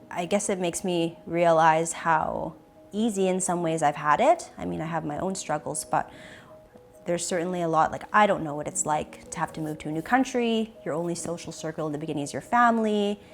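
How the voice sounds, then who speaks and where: A young Canadian woman sits for an interview and answers in a thoughtful, hesitant way, pausing often to find her words. Her voice sits on the low side for a woman, and soft music plays faintly behind her. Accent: Canadian English. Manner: thoughtful, hesitant, with many pauses and restarts. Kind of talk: reflective personal interview.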